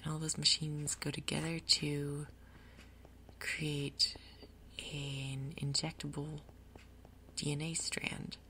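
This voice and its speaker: A woman giving soft, breathy, whispered ASMR commentary on a game, murmuring her thoughts aloud in an unhurried way. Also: low-pitched, flat delivery, slight background noise, rough recording. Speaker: gaming video host.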